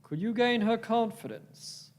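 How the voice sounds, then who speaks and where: A man's voice: very high voice, lively intonation, unhurried. A man playing a cold alien villain in a radio play, formal, commanding and threatening. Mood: cold menace. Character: cold, menacing alien villain serving the Daleks. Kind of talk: live radio-play acting.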